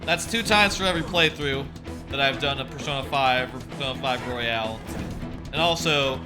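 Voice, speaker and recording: A man's voice: medium pitch, normal speed. A man streaming a game with casual, rambling, wry playthrough commentary. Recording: game music behind voice.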